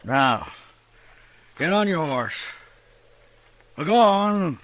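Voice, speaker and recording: An American actor playing a callous, curt, taunting rancher in a radio western. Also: male voice, fairly high pitch, big pitch swings, extremely slow, fairly bright tone, dull audio, full character voice. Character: menacing, callous Old West lynch-mob rancher. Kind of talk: 1950s radio western acting.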